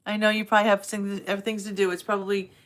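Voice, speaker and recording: A woman's voice: medium pitch, flat delivery, quick pace. Chatty Boston woman live-streaming. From Massachusetts, south of Boston. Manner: chatty, rambling, friendly, laughs easily. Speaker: livestream host. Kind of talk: casual live-stream chat with viewers.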